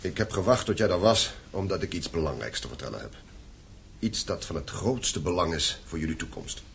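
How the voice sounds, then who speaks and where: A man's voice: low-pitched, very animated, fairly quick, vocal fry, fairly bright tone, slight background noise, exaggerated voice. An actor playing a stern, domineering landowning father in a radio play, commanding and formal. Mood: grave, purposeful.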